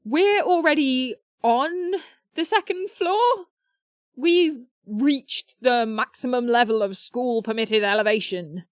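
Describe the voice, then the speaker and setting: High-pitched nerdy, awkward teen girl played by a woman. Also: slow, no room sound, studio quality. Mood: awkward, apologetic. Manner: hesitant, rambling, technical explanations. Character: nerdy, awkward teenage girl, eager science whiz. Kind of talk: audio drama acting.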